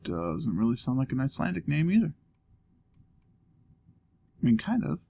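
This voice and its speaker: A man talks through a guessing game, half to himself, musing and joking as he goes. His voice is gravelly and his pitch rises and falls a lot, with a casual, chatty let's-play delivery. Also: wildly swooping pitch, moderate pace, a little mellow, a little hiss or hum. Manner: thinking aloud, musing and joking. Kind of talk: casual gameplay commentary.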